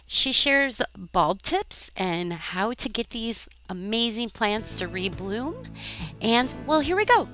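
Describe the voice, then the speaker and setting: A woman podcast host from Ohio in casual interview chat, bubbly and quick to laugh and exclaim. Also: mid-range voice, a bit bright, no room sound. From Ohio, USA.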